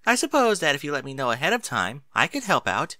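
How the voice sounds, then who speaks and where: A man's voice: on the high side, sing-song, quick pace, pushed and bright, no echo, clean studio sound, pitched above the performer's own voice. A man voicing a studious female pony, helpful and a wry know-it-all, speaking in a precise, bookish way.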